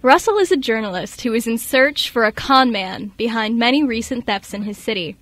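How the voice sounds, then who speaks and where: A woman's voice: fairly high pitch, fairly quick, fairly bright tone. A young woman reading scripted story narration for a radio show.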